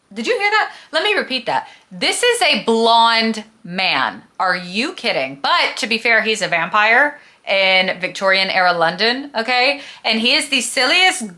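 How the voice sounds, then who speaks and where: A woman's voice: a little high, bright, forceful tone. A woman talking casually as a book vlogger, with a gushing, emphatic, dramatic and slangy manner. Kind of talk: casual chatty book review vlog.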